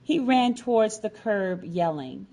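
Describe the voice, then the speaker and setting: A woman storyteller narrates in a slow, measured way. Her phrasing is deliberate and dramatic, as in a prepared personal story read aloud. Her pitch sits in a middle range for a woman. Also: lively intonation, gravelly, dark tone. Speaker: featured storyteller.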